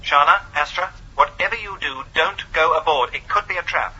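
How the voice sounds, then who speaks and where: A cautious spaceman on a radio link, fairly high for a man and urgent. He gives orders through a very noisy communicator, as in a radio space serial. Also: normal speed, muffled recording. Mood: wary, commanding. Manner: urgent, commanding warnings. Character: cautious male space crewman calling by radio. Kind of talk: science fiction radio drama acting.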